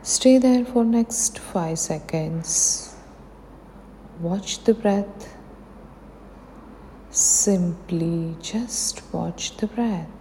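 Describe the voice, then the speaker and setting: A woman's voice: fairly low pitch, drawn-out words, pauses often, mellow. A woman with an Indian accent leading a guided meditation, giving soothing instructions in short phrases. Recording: long hall reverb, faint background noise.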